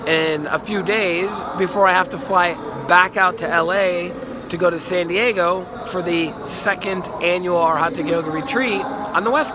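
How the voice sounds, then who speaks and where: A man, hoarse from a cold, chatting enthusiastically on a live stream and repeating words as he talks. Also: sits high, noisy background. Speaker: life coach and healer. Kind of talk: casual live stream monologue. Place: outdoors in a city. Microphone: phone.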